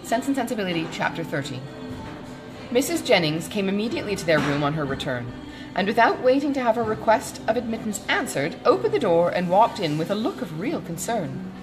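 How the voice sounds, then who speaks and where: Woman, audiobook narrator, classic literary prose, lively varied pitch, measured expressive storytelling. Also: music in the background, low-quality recording.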